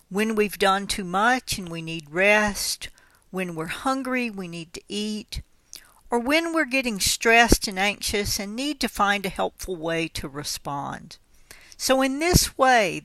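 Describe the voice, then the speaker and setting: A woman guiding a mindfulness meditation with gentle, unhurried, measured phrasing. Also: mid-range voice, no echo. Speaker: meditation guide. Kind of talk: guided mindfulness body scan instruction.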